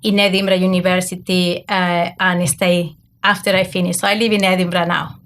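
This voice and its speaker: A woman's voice: fairly low pitch, little pitch movement, moderate pace, fairly bright tone. A woman from Argentina, a native Spanish speaker, speaking accented English as a podcast guest in a conversational interview. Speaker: podcast guest, academic coach.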